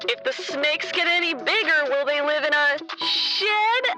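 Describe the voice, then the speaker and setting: A woman's voice: high-pitched, lively intonation, unhurried. A woman playing a chirpy, punning announcer in a parody local radio commercial, with a cheesy promotional manner. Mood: cheesy, upbeat. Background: music.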